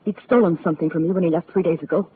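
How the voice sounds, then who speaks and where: A woman's voice: on the low side, steady tone, rapid-fire, husky. An actress playing a frightened young married woman in a 1940s radio drama, nervous and pleading. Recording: tinny old radio broadcast.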